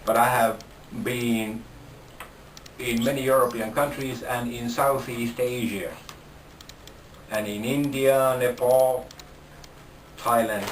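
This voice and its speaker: A man with a Finnish accent, speaking English slowly and haltingly and restarting his sentences often. He sits well back from the microphone, and the room around him sounds echoey. His pitch sits in a middle range. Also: slight background noise. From Finland. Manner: slow, halting, with repeated restarts. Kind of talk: casual interview talk. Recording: roomy sound from a distant mic.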